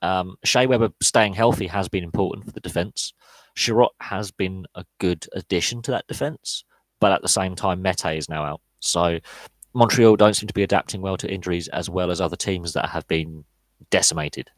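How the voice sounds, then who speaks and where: A man's voice: low voice, normal speed, no echo. A man in his thirties hosting a live podcast Q&A, talking casually with many fillers and restarts. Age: 30s. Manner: rambling, frequent fillers and restarts. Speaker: podcast host.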